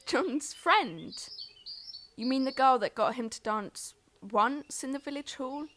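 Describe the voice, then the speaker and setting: An actress playing a headstrong, defiant young farm daughter in an audio drama, with a sarcastic, insistent way of talking. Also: female voice, high-pitched, slow, fairly bright tone, slight background noise.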